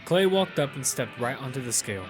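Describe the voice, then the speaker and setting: A man's voice: medium pitch, lively intonation, a little fast, loud music behind the voice, lightly acted. A man playing a casual, confident young gamer who narrates his story in the first person and teases his friends. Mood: narrating. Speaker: narrator and lead character. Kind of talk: first-person audio fiction narration and dialogue.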